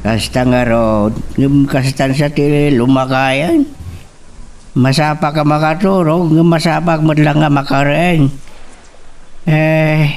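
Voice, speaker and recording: A man's voice: fairly high pitch, steady tone, takes it slowly, a little mellow, recorded from a distance. A Filipino man playing a tired, caring, aging father in a radio drama. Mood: weary, caring.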